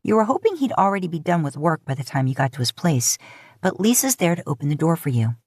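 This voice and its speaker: A woman's voice: sits low, big pitch swings, quick pace, no room sound, clean studio sound. A woman reading scripted fiction as an audiobook narrator.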